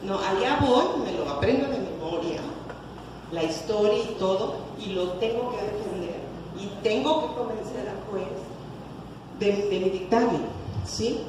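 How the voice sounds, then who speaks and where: A woman's voice: mid-range voice, unhurried. Mexican lady giving a talk, explains with emphasis, distant mic, lots of background noise. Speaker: lecturer, clinical psychologist. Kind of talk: conference talk answering audience questions. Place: conference hall with reverberation. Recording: echoey hall, distant mic, noisy.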